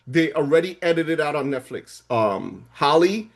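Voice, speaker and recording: A man from Saint Martin co-hosting a podcast, chatting in a relaxed, rambling way with lots of ums. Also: fairly high pitch, measured pace, gravelly. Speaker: podcast co-host. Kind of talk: casual chat.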